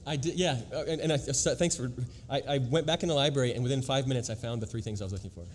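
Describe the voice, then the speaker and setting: A man, a researcher of language newspapers, answering on a panel in a quick, reflective way with many restarts. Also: medium pitch. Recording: long hall reverb, faint noise.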